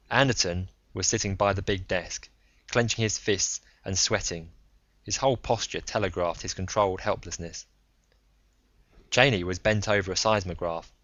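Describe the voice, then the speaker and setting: A man's voice: low-pitched, a bit bright, no room sound, lo-fi sound. A man reading a first-person story aloud as scripted audiobook narration. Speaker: audiobook reader.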